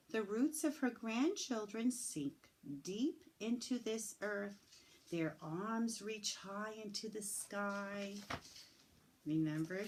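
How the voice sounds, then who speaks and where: A woman teacher reading a picture book aloud to young children, slow and sing-song, stretching words and asking them questions. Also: mid-range voice, a little mellow, a little hiss or hum, poor audio. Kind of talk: storybook read-aloud lesson for young children.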